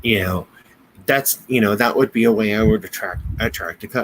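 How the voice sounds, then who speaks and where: A man with an American accent in a casual business discussion, speaking haltingly, often repeating words and restarting. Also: fairly low pitch, a bit bright, low-quality recording. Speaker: business podcast co-host. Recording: noticeable background noise.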